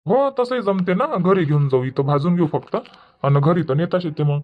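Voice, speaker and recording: A man's voice: high voice, animated, moderate pace. A man voicing a grumbling, lecturing old village husband in a cartoon comedy, with a Varhadi Marathi accent. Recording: dull lo-fi audio.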